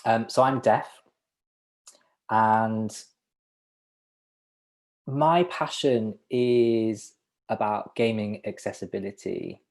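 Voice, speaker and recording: A man working as a sign language interpreter, voicing a student's presentation in the first person over a video call, halting at times with restarts and small laughs. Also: lowish voice, darkish tone.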